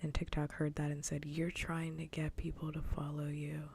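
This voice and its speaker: A woman livestreaming casual ASMR chat in a soft, breathy whisper, rambling close to the microphone. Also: sits low, monotone, normal speed, a little hiss or hum. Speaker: livestream host.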